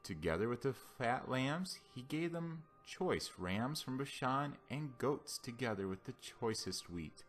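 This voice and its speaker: A man giving a solemn scripture reading as a Bible reader, with deliberate, declamatory phrasing. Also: mid-range voice, lively intonation. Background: background music.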